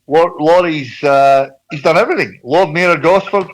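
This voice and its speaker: Male co-host, slightly high pitch, halting and chummy. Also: low-quality recording. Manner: hesitant, many "uh" and restarts, says "mate". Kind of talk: casual interview chat.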